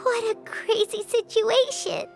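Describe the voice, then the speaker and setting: A woman voicing a small childlike companion creature in an anime-style game, squeaky, naive, earnest and emotional. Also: fairly even intonation, unhurried, vocal fry, full character voice. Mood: distraught. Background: background music.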